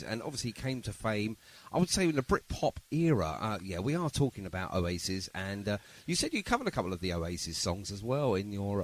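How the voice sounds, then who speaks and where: Lively, chatty man hosting. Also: on the low side. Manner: jokey, chatty. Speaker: podcast host. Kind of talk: casual chatty radio-style interview.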